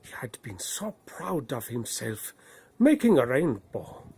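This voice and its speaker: A man narrating a bedtime story in a put-on Norse accent, with a husky voice and slow, dramatic phrasing that swells and hushes. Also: medium pitch, sing-song, a little hiss or hum.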